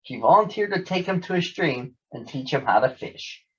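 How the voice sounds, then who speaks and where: A man's voice: on the high side, expressive, moderate pace, highs cut off. A man narrating a children's story from a script as a storybook reader.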